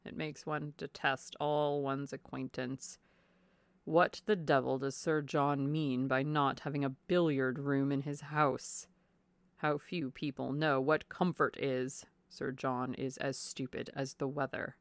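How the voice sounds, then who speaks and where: A woman voicing a sour, bored, rude gentleman husband in a classic novel, with a disdainful drawl. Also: sits low, level tone, a little fast, hardly any pauses, smooth, no room sound, voice pushed down, lightly acted. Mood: bored, disgusted. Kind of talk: audiobook character voice, classic novel.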